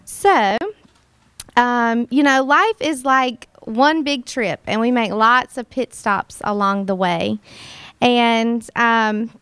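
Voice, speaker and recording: A woman, a kindergarten teacher who owns a boutique, tells her story to a college audience. She sounds earnest and reflective, and her fairly high voice comes through clean. The recording is close and dry. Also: slow pace, fairly bright tone, smooth, no room sound. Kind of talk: personal panel talk. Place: warm college room.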